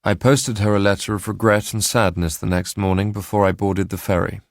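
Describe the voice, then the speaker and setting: A man's voice: lowish voice, flat delivery, a little fast, dry recording, clean studio sound, close to an everyday voice. An actor playing a reflective young man, narrating his own past in an understated, introspective way for radio drama. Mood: rueful. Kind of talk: scripted first-person radio drama narration.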